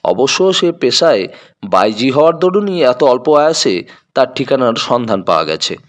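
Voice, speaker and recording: A man narrating a scripted detective audio story in a dramatic storytelling style. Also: mid-range voice.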